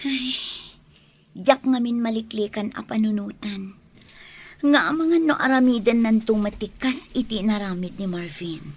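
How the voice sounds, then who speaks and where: A woman playing a soft-hearted, hopeful young woman in love in an Ilocano radio drama. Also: on the high side, normal speed. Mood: happy, uncertain.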